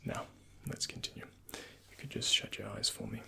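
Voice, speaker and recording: A man speaking softly and breathily in an ASMR roleplay as a doctor, giving gentle, courteous, unhurried instructions to a patient, close to the microphone. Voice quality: breathy, often near-whispered. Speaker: ASMR performer playing a doctor. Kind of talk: soft-spoken ASMR medical roleplay, addressing the listener as a patient.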